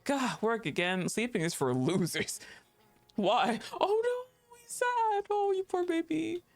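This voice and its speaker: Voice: high voice, lively intonation, takes it slowly, soft background music. A game streamer giving casual, playful livestream commentary, reacting aloud to the game and chatting with viewers.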